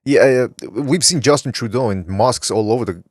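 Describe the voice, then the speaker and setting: A man's voice: medium pitch, moderate pace, darkish tone, dry recording, clean studio sound. A man hosting a casual podcast, rambling as he reads viewer chat comments aloud. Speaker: podcast host. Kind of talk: casual talk show chat.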